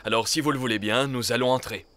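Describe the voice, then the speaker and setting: A man playing a courteous young noble prince with formal, gracious speech in a French animated series dub. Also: medium pitch, fast talker, close to an everyday voice. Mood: formal, welcoming.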